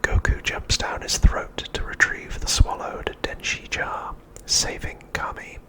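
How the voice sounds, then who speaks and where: A man narrating a scripted recap for ASMR in a breathy, unvoiced whisper, with a hushed, measured delivery. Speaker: ASMR host.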